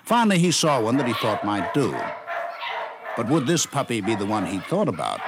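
Very animated 1950s radio narrator, man. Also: mid-range voice, normal speed. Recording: noisy vintage 1950s radio recording.

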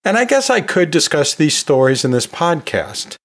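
A man's voice: a little high, very animated, normal speed, a bit bright. A man hosting an educational podcast solo, talking in a conversational, folksy way with joking asides. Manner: folksy, jokey asides with chuckles. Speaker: podcast host, anatomy and physiology teacher.

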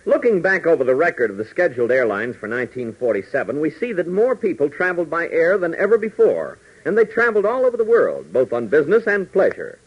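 A man's voice: fairly high pitch, big pitch swings, fast, hardly any pauses, breathy edge. A man narrating and announcing a 1940s radio serial with dramatic, theatrical delivery. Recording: noisy, dull vintage 1940s radio recording.

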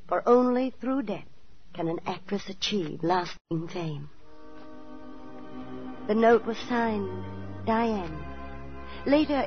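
An actress playing a prim, motherly middle-aged landlady who tells her story in measured 1940s radio drama style. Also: mid-range voice, close to an everyday voice. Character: Miss Leonora Plim, prim motherly boarding-house landlady telling her story. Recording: muffled 1940s radio broadcast, faint music.

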